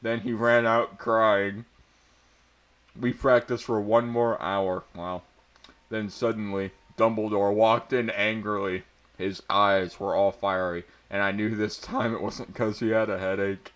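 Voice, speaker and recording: A man's voice: lowish voice, flat delivery, a little slow, a bit bright. A man giving an amateur mock-dramatic reading of fan fiction, stumbling over words and prone to breaking up. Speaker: amateur reader.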